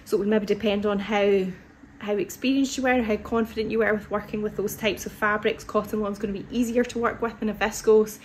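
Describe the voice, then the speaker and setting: A woman in her thirties hosting a live sewing question session from her fabric shop, chatting casually with lots of ums and restarts, her voice a little hoarse from a cold. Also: medium pitch, flat delivery, brisk, slight background noise. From United Kingdom. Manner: chatty, thinks aloud with many ums and restarts. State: slightly hoarse with an oncoming cold. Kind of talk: casual live-stream chat answering viewer questions. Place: fabric shop floor with fabric bolts and dress forms, indoors. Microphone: phone or webcam at desk distance.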